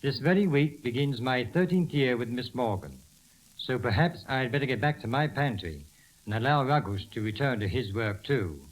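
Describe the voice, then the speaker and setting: A man's voice: medium pitch, animated, normal speed, low-quality recording. A man speaking as a butler guest in a scripted 1930s radio talk, formal and deferential, on an old hissy recording.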